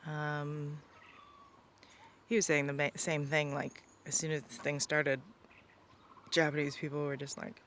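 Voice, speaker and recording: Gravelly-voiced young woman vlogging outdoors. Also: low voice, level tone, measured pace, long pauses, a bit bright. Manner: rambling, many ums and likes. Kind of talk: casual vlog talk. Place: rural property outdoors, birds around. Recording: noisy outdoor recording.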